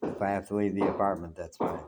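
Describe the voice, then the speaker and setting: An older man from the United States with a very low, deep voice talks through a long reflective story in a loose, meandering way. He has a big voice, and faint background noise sits under the recording in his apartment. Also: flat delivery, quick pace. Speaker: vlogger. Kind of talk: reflective solo talk.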